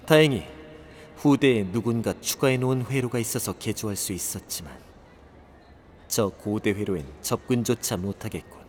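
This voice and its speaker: A man's voice: fairly low pitch, moderate pace, a little hiss or hum, natural voice. A man playing an arrogant, irritable young inventor, haughty and curt, in an audio drama. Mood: pensive muttering. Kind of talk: Korean audio drama acting.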